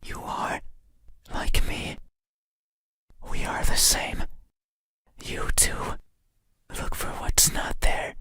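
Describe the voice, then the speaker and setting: A performer voicing an eerie, obsessive monster in a breathy whisper, speaking slowly and menacingly in short phrases for an ASMR horror roleplay. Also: pushed and bright. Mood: accusing, eerie. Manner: slow, deliberate, menacing, broken into short phrases. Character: eerie, obsessive monster demanding you open your eyes.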